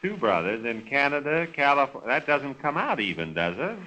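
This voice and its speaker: A man's voice: mid-range voice, very animated, brisk, sharp tone, poor audio. A man playing a put-upon, hesitant guest in 1930s American radio comedy, tossing off dry, understated wisecracks. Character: wry, put-upon adult male guest, patiently exasperated. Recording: vintage 1930s radio broadcast.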